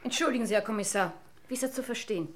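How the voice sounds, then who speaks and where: Actress as a proud, intense, suspicious wife. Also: female voice, fairly high pitch, slight background noise, natural voice. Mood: insistent. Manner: formal, insistent, dramatically deliberate. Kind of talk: German radio crime drama acting.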